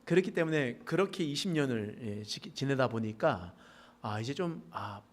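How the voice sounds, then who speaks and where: Animated, folksy Korean pastor with a regional lilt. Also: male voice, moderate pace. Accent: Gyeongsang dialect traces. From South Korea, Pohang area. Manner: folksy, joking asides with congregation, animated pitch swings. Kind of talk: sermon. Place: church sanctuary.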